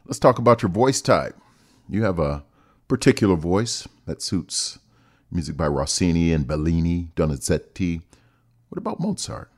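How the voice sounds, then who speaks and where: A man's voice: deep voice, big pitch swings, normal speed, darkish tone. A man from Ohio hosting a radio interview, asking guests questions. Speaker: radio host.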